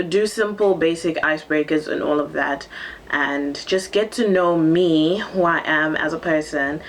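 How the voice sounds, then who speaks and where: A bubbly teenage girl from Zambia, raised in Cape Town, chats through a casual get-to-know-me vlog. Her voice sits unusually low for a young woman. She fills pauses with ums, and a faint hiss of room noise runs underneath. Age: teens. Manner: chatty and bubbly, with frequent ums and laughs. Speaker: vlogger.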